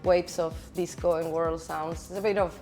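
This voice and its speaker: A woman from Chile, raised in Spain, who speaks. She is a DJ and event organizer giving relaxed, chatty interview answers full of "like" and "you know". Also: lowish voice, normal speed, faint music behind. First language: Spanish.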